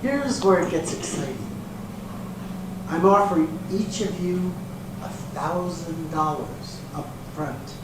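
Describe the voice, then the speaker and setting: Far off in a very echoey, noisy hall, a man acts out a slick promoter delivering his pitch slowly. He is grandiose and placating, selling hard in stage-reading style. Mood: coaxing, reassuring. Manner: salesman's patter, grandiose, placating. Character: slick, smooth-talking male promoter pitching a glamour calendar. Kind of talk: readers theater stage acting. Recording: echoey hall from far off, hissy room noise.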